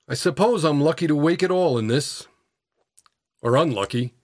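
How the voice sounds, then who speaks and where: A man voicing a weary gentleman tramp in a story, bitter and resigned, speaking in an educated, understated way. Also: a little high, lively intonation, moderate pace, no room sound, clean studio sound. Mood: grim, bitter.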